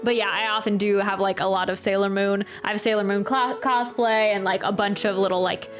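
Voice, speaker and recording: A woman's voice: medium pitch, steady tone, brisk. A woman streamer reacting to a game and chatting casually, rambling and often interrupting herself. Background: soft game music.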